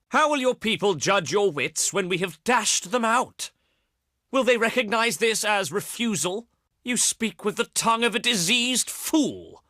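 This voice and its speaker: Casting: proud Greek envoy for a strategy game, theatrical and oratorical, bright forceful tone, sweeping intonation. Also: male voice, sits high, dry recording, studio quality, full character voice. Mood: scornful, insulting. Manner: pompous, oratorical, declaiming in ornate phrases. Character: proud ancient Greek diplomat, eloquent, scornful, theatrical man. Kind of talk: theatrical video game diplomacy voice lines.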